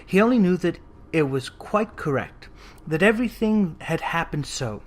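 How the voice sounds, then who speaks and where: Expressive narrator reading a classic story. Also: medium pitch, normal speed. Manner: expressive, theatrical. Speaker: audiobook narrator. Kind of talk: scripted literary storybook narration.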